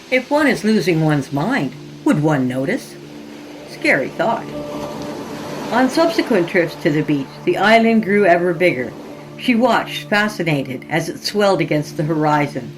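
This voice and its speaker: A woman's voice: lowish voice, lively intonation, normal speed, poor audio. A woman reading scripted horror fiction as a podcast narrator, in a dramatic storytelling style. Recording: music behind the voice. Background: music.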